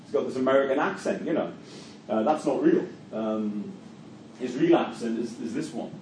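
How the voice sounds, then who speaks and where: A middle-aged man with a Geordie accent lectures to a class, his voice dark and mellow. He stumbles and repeats words as he thinks aloud. The mic sits far off in an echoey room with faint noise. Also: mid-range voice, normal speed. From Newcastle, England. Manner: hesitant, repeats words, many fillers. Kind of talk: university lecture with class discussion.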